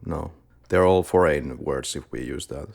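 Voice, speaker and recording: Deep gravelly Finnish-accented man. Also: a little slow, a little mellow. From Finland. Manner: playful, teasing questions. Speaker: podcast co-host. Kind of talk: casual chat.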